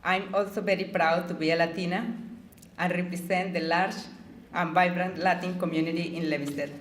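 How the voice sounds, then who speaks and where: A woman's voice: on the low side, fairly even intonation, fairly quick, slight background noise. Woman speaking in an echoey hall. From Colombia. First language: Spanish. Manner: gentle, with playful jokes. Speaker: award honoree giving acceptance speech. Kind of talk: prepared acceptance speech.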